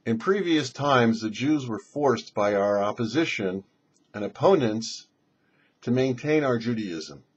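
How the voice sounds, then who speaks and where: A man's voice: mid-range voice, animated, a little slow, slightly rough. A man, a rabbi and show host, giving a religious teaching in a didactic way with emphatic phrasing. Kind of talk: religious teaching on a Torah portion. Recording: dull lo-fi recording.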